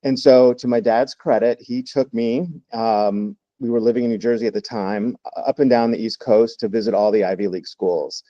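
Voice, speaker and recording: A man in his fifties from Texas talks with a mellow, dark tone, sounding a little dull and lo-fi over a dry line. He tells his story in a relaxed, reflective way with plenty of fillers. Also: mid-range voice, moderate pace, few pauses, no room sound. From Texas, United States. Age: 50s. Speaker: guest. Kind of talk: interview storytelling.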